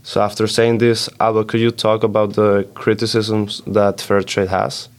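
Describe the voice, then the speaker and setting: Low, flat-voiced young Swiss-born man. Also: moderate pace. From Switzerland. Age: young adult. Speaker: podcast co-host. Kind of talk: student podcast, explaining terms.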